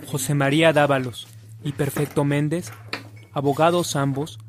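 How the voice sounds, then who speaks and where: A man's voice: a little high, level tone, normal speed, background noise, lightly acted. A man acting as the solemn narrator of a religious radio drama. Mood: storytelling.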